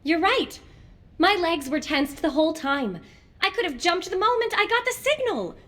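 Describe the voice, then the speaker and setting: An actress playing an energetic, feisty young female fighter in a video game, talking indignantly. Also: very high voice, normal speed, exaggerated voice. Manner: animated, indignant.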